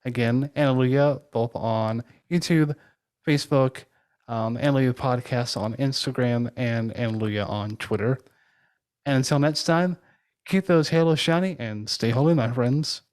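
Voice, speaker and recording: A woman's voice: very deep voice, unhurried, a little mellow. A woman hosting a podcast in a casual, conversational style. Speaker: podcast host.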